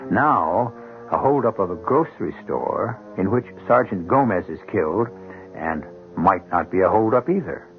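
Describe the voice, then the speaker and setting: A man playing an ominous, sardonic storyteller host, narrating a radio mystery with deliberate, theatrical phrasing. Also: sits low, expressive, faint music behind. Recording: muffled 1970s radio broadcast.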